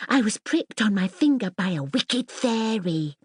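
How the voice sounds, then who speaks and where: Woman voicing a haughty princess girl, high and swooping. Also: lively intonation, a little slow, darkish tone, breathy edge, no echo, clean studio sound. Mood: boastful. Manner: grand, theatrical, bossy. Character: haughty, boastful, mischievous young princess girl. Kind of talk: children's audiobook character voice.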